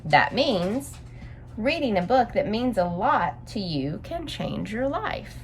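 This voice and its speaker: A woman hosting story time, reading aloud and explaining things simply to young listeners in an expressive, instructive way. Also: medium pitch, takes it slowly, faint music behind.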